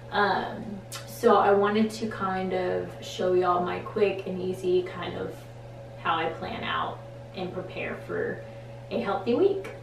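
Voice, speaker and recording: A young woman in her twenties chatting casually to camera in a small bedroom, relaxed and full of ums and likes. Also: medium pitch, reverberant, a little hiss or hum, rough recording. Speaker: vlogger. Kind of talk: casual vlog chat to camera. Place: small wood-paneled bedroom, indoors.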